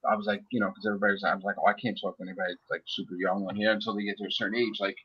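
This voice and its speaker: A man's voice: fairly low pitch, little pitch movement, very fast, breathy edge, highs cut off. A man hosting a casual group chat, rambling and swearing easily as he tells stories. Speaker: host.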